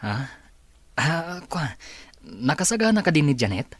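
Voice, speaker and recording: A man's voice: fairly high pitch, sing-song, unhurried, a bit bright, no room sound, full character voice. A man acting a concerned, questioning young friend in an Ilocano radio drama. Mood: brisk.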